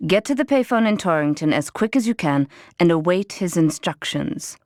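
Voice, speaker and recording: A woman's voice: lowish voice, lively intonation, moderate pace, darkish tone, no echo, natural voice. An actress playing a ruthless woman crime boss who gives orders in a cool, terse, mocking tone. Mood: cold.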